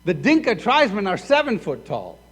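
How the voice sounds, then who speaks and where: A man's voice: high voice, normal speed, husky. A man from Sudan preaching a sermon as pastor, acting out both sides of imagined conversations. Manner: animated, acts out both sides of dialogues. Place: church sanctuary. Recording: echoey hall reverb.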